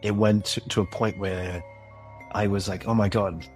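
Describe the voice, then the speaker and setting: A man's voice: lowish voice, steady tone, normal speed, a little mellow, faint music behind. A man talking as a podcast guest about creating an audio drama, thoughtful and earnest. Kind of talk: reflective interview talk.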